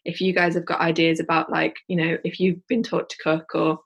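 A woman's voice: lowish voice, level tone, fairly quick, creaky, slightly rough, lo-fi sound. A woman with a Yorkshire accent, talking through her plans as an interview guest, rambling and restarting as she thinks aloud. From Yorkshire, England. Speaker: guest, social enterprise founder. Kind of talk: casual interview chat.

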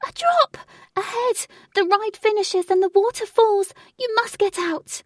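A woman voicing a panicked female messenger in a storybook, screaming warnings with shrill urgency. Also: unhurried, dry recording, studio quality, distant mic.

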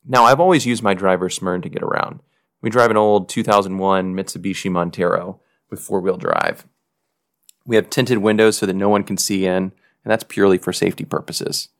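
A man's voice: deep voice, lively intonation, gravelly, no room sound, clean studio sound. A man hosting a podcast, telling a personal story in earnest, reflective scripted narration. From United States. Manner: earnest, reflective, measured delivery. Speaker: podcast host.

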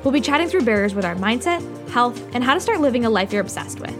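A woman's voice: fairly high pitch, expressive, quick pace. A woman host reading an upbeat, motivational podcast introduction. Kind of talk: scripted show intro. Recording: intro with music behind. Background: music.

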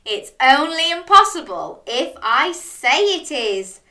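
Picture-book male dog, read by a woman: wistful, sincere, bright tone with lots of lilt. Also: high-pitched, very animated, slow pace, pitched above the performer's own voice, heavily acted. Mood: dawning hope. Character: wistful male dog who longs for the seaside.

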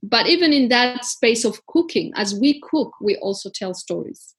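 A woman's voice: a little high, expressive, normal speed, breathy edge. A woman from Africa, founder of a community garden, telling her story earnestly in an interview, with many fillers and restarts.

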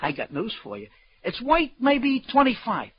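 An actor playing a slangy, streetwise auctioneer in a 1940s radio crime drama. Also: male voice, very high-pitched, wildly swooping pitch, moderate pace, slight background noise, full character voice. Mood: wry, animated. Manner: colloquial slang, calls people sweetheart. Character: slangy, wisecracking Broadway auctioneer, streetwise man. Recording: dull, lo-fi old radio recording.

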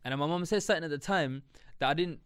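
A man's voice: on the high side, racing, a bit bright, smooth, no room sound, clean studio sound. A man, musing at length in casual chat, with many fillers. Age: 20s. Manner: rambling, reflective, many fillers.

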